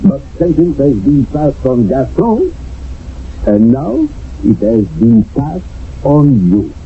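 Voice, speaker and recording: A man's voice: medium pitch, big pitch swings, takes it slowly. An actor playing a stern, formal chairman of a wartime village court in a radio drama. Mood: solemn. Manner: formal, solemn, judicial. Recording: lo-fi old radio broadcast, faint noise.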